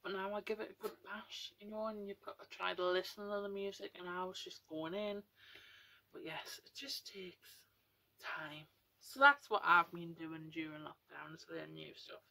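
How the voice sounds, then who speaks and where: Chatty young woman, breathy nasal voice. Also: steady tone, moderate pace, bright, forceful tone, low-quality recording. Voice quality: nasal, breathy, high-pitched voice. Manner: chatty, rambling, with many fillers. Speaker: vlogger. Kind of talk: casual chat to camera. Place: at home, getting ready for bed.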